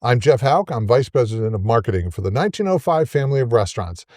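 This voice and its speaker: A man's voice: mid-range voice, expressive, fast, darkish tone, dry recording, studio quality. A middle-aged man hosting a podcast interview with a friendly, jokey manner and wry asides. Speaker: podcast host.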